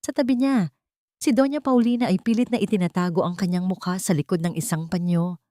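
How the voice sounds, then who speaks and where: Lowish-voiced woman narrating a dramatic story. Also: warm tone, dry recording, clean studio sound. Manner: measured, solemn, literary.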